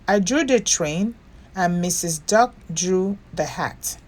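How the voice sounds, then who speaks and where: A woman voicing a young, anxious storybook bear in a small, plaintive, drawn-out voice. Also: lowish voice, lively intonation. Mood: sheepish. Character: young toy bear, childlike, anxious and sorry.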